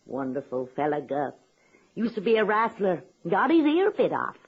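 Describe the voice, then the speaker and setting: Husky, folksy woman, swooping and blunt. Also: on the low side, moderate pace, heavily acted. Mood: wry, chatty. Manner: folksy, ungrammatical, drawling deadpan. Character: folksy, blunt, unworldly poor woman, good-natured and wry. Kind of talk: radio comedy drama acting. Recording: dull, lo-fi old radio recording.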